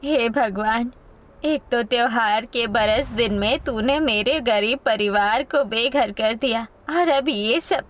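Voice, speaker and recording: An anxious, caring woman of a poor homeless family, played by a female actor. Her high voice is plaintive and melodramatic, in the manner of a moral story. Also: slow, a bit bright. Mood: sorrowful.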